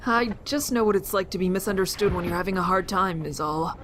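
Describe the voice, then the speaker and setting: A woman playing a gentle, considerate teenage boy survivor in an audio drama, polite and reassuring. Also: mid-range voice, brisk, slight background noise, close to an everyday voice. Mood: gentle, modest. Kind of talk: amateur audio drama acting.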